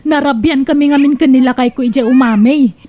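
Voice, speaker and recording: Woman acting an agitated, high-pitched Ilocano village gossip. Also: a little fast, dark tone, lightly acted. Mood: alarmed tattling. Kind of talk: Ilocano comedy radio drama acting. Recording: clearly noisy background.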